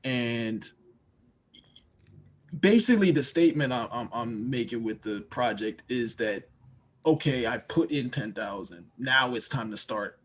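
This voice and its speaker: Young dc rapper on a podcast, kinda husky, thinks out loud and restarts a lot. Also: male voice, medium pitch, animated, a little slow. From Washington, D.C., USA. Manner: reflective, restarts phrases, repeats words. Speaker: rapper and producer guest. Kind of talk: podcast interview.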